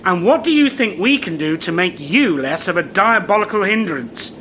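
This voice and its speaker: A man's voice: high-pitched, expressive, normal speed, pushed and bright, slight background noise. A man with a British accent playing a flustered, pompous, exasperated supermarket manager in a comedic audio drama.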